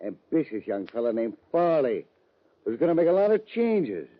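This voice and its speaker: A man's voice: mid-range voice, big pitch swings, moderate pace. A man playing a falsely friendly, smug western town boss with veiled menace in an old-time radio drama. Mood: smug, taunting. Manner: oily, taunting, colloquial. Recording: muffled old radio recording.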